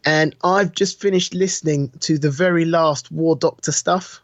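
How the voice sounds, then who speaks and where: A man's voice: a little high, steady tone, normal speed, no echo. A man chatting casually and enthusiastically as a podcast co-host, with informal, emphatic delivery. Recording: dull, muffled lo-fi audio.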